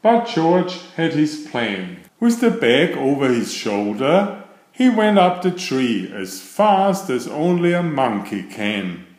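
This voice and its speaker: Slow, expressive male storybook reader. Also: a little high. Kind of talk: children's book read-aloud narration. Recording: roomy, echoing sound.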